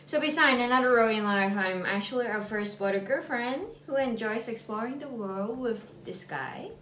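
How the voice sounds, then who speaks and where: A woman's voice: a little high, normal speed, a bit bright, reverberant, a little hiss or hum. A woman in her late twenties from Hong Kong with a Hong Kong English accent, co-hosting a couple vlog in a playful, slightly shy, giggly way from a small room at home. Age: late 20s. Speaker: vlog co-host, nurse and yoga teacher. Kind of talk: casual couple vlog introduction.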